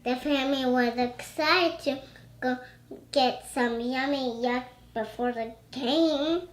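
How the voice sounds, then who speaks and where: A young child with a very high, bright voice reads a storybook aloud and chats. The delivery is slow and halting, with stumbles over words, in a roomy-sounding recording. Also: slight background noise. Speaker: young reader. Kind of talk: reading a storybook aloud, chatting.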